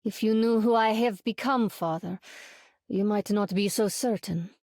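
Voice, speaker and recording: A woman voicing a grave, proud heroine in a video game, measured and formal. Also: medium pitch, a little mellow, no echo, clean studio sound, close to an everyday voice. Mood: grave, challenging. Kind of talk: video game character voice lines.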